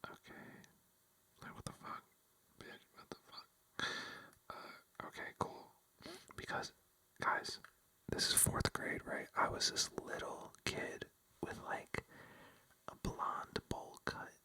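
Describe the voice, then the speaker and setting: A vlogger telling a rambling, casual story in a breathy ASMR whisper, full of fillers like "like" and "you know.". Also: takes it slowly, pauses often, sharp tone. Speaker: vlogger addressing viewers.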